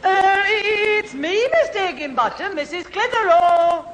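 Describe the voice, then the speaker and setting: A woman's voice: very high-pitched, expressive, unhurried. An actress playing a long-suffering, scolding mother in a 1970s radio sitcom. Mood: polite. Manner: scolding but indulgent. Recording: echoey radio theatre, loud audience, hiss.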